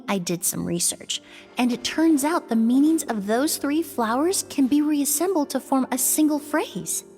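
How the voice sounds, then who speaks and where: A woman playing a thoughtful, polite young perfumer in a video game, measured and explanatory. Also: sits high, a little mellow, big-room sound.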